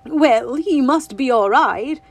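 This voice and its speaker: Woman playing a fussy old grandmother, prim, scolding, slow, audiobook. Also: high-pitched, animated, gravelly, voice pushed up, full character voice. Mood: grudging. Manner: prim, scolding, drawn-out words. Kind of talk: audiobook reading.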